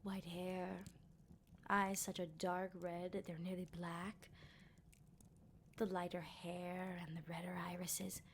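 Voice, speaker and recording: A woman playing a teasing, sardonic female vampire captor in an ASMR roleplay, speaking in an unhurried, breathy half-whisper. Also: fairly low pitch, level tone, slight background noise. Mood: musing, sardonic. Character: playful, menacing female vampire captor, decades old.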